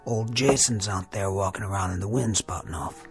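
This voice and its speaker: An actor playing a tough, impatient gunfighter in an old Western drama, blunt and clipped, with an American accent. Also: male voice, deep voice, moderate pace. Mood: terse, grim. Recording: vintage TV drama audio with music.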